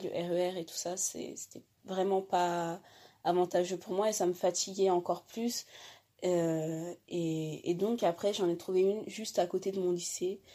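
A teenage girl, a high school student, telling her own story haltingly with many fillers and restarts. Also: mellow. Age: teens. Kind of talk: personal testimony.

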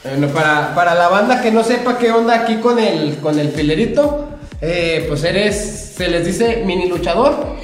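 A man's voice: high-pitched, animated, normal speed, faint music behind. A man hosting a casual interview, joking and full of street slang. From Saltillo, Mexico. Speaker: podcast host. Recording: very echoey room.

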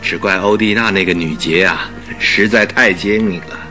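A man's voice: sits low, normal speed, pushed and bright, faint music behind. A man playing a wry, teasing detective's companion in a Mandarin radio drama. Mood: teasing, rueful.

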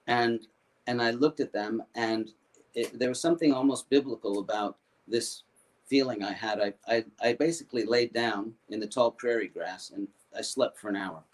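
Halting older man telling his story. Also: medium pitch. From United States. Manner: halting, many restarts and repeated words. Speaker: foundation founder. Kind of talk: personal storytelling.

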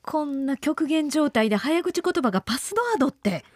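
A woman's voice: sits high, big pitch swings, moderate pace, no room sound, clean studio sound. An actress with a Kansai accent playing a frightened, exasperated young woman fleeing zombies in a comedic radio drama, incredulous and pleading.

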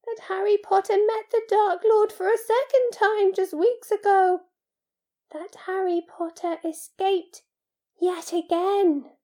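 A woman voicing a small, squeaky, breathy male house-elf in an audiobook, servile, fearful and devoted, pleading in the third person. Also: fairly even intonation, takes it slowly, dark tone. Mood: earnest, tearful.

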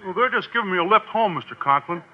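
An American actor playing a dim-witted, innocent teenage football player with folksy grammar in a vintage radio comedy. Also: male voice, high voice, animated, quick pace. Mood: dopey. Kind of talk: 1940s-50s American radio comedy acting. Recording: noisy, muffled old radio recording.

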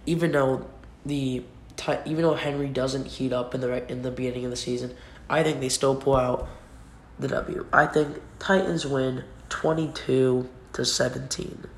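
A young man with a cold talks through his picks in a loose, rambling way, often restarting mid-sentence. His voice has a gravelly fry to it. He records into a computer mic in a roomy, echoing space with a faint hiss underneath. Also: medium pitch, steady tone, moderate pace. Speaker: sports podcast host. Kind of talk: casual solo sports predictions. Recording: echoey room with faint noise.

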